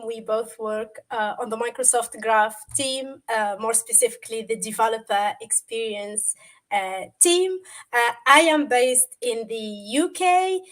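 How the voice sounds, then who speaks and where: High-voiced woman, native Arabic speaker. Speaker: product manager guest. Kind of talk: casual webinar chat, explaining. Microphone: video call.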